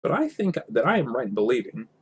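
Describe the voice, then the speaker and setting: A man voicing a small, prim gentleman hobbit from a storybook in a huffy, dignified manner. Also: medium pitch, very animated, fast talker, a little hiss or hum, lightly acted. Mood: indignant, dignified.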